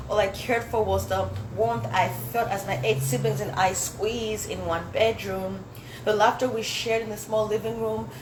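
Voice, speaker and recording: A young woman with an African accent and Swahili as her first language performs spoken word poetry. Her pitch sits in the middle for a woman. The room echoes around her and there is clear noise in the background. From Zambia, later the United States. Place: indoor dinner event with audience.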